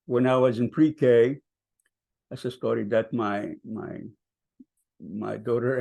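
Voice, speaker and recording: Older guy, gravelly voice, talks slow and soft with lots of ums, telling his story at a vigil. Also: medium pitch. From Palestine. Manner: hesitant, many ums, soft-spoken. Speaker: vigil speaker. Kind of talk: personal storytelling.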